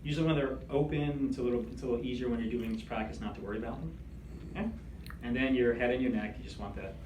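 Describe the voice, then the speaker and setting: A man leading a meditation class, speaking in a gentle, soothing, conversational way. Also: mid-range voice, racing. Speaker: meditation instructor. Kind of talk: guided meditation instruction. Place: room with attendees seated in chairs. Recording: echoey room, distant mic, background noise.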